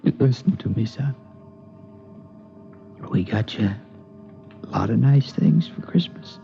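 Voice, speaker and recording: A man's voice: mid-range voice, expressive, slow pace, gravelly. An American man playing an anguished father of a young son in a 1950s radio drama, emotional and faltering. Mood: grieving, tender. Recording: muffled old radio recording with music.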